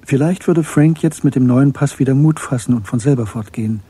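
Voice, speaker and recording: A man narrating a German radio crime drama in a measured, matter-of-fact way. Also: mid-range voice, warm tone, slightly rough. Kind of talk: scripted audio drama narration.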